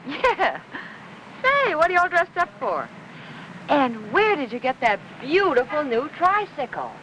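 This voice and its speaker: A woman's voice: sits high, huge pitch swings, measured pace, a little hiss or hum, heavily acted, poor audio. A woman acting in a 1950s noir film as an anxious, devoted housewife who speaks hesitantly and placatingly. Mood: startled, then kindly. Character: anxious devoted housewife nursing her sick husband. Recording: old film soundtrack with loud music.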